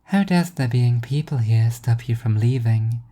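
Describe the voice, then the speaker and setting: Woman as doll-like teenage oracle, flat delivery, pedantic, unnervingly detached, soft dark tone, audio fiction. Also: a bass voice. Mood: detached, probing. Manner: flat, measured, formal and pedantic. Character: eerie, emotionless teenage girl prophet, doll-like.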